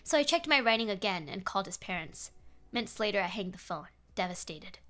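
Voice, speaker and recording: A woman's voice: medium pitch, animated, brisk, a bit bright. A woman narrating a horror story aloud in a dramatic read-along style. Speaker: streamer reading horror stories aloud.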